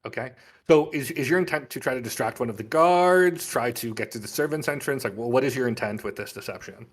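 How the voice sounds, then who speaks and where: A man narrates a tabletop role-playing game as its game master. His voice sits at an average pitch. He describes scenes off the cuff, in a conversational way. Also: brisk, breathy edge.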